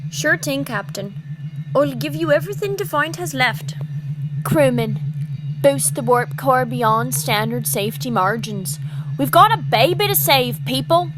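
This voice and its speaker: A woman's voice: high voice, expressive, measured pace. A woman playing a spirited, hard-driving starship engineer in a sci-fi audio drama. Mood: willing, brisk. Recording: noisy engine-room ambience.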